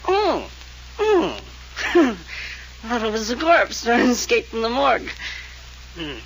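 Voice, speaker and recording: A man's voice: very high voice, wildly swooping pitch, slow, heavily acted. An actor playing a tipsy, flippant, well-bred man, giggly and mock-polite, in a 1940s radio mystery. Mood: amused, befuddled. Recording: noisy old radio transcription.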